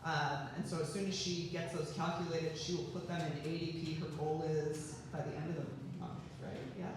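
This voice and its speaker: A man with a fairly high voice talks staff through updates in a loose, chatty way full of ums. He sounds far from the microphone in an echoey hall with long reverb. Room noise is clearly audible behind him. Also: little pitch movement, normal speed. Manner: chatty, filler-heavy with many ums. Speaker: HR presenter at a company meeting. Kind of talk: casual presentation to staff. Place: large meeting room with staff audience.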